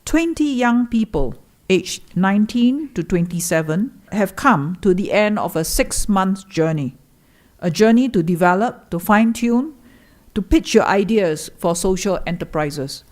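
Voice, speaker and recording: A woman giving a formal welcome speech as host of a forum, in a measured, declamatory way. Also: mid-range voice, animated, a little mellow.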